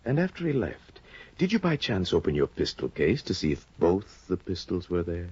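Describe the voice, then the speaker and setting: A sly, deep-voiced judge. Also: male voice, huge pitch swings, moderate pace, dry recording, heavily acted. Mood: menacing. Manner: smooth, probing, quietly menacing. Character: sly insinuating middle-aged male judge. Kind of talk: 1950s radio drama acting. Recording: dull lo-fi 1950 radio broadcast.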